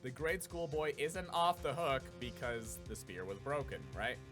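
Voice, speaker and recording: A man's voice: mid-range voice, animated, a little slow, music in the background. A man giving casual commentary while playing through a game.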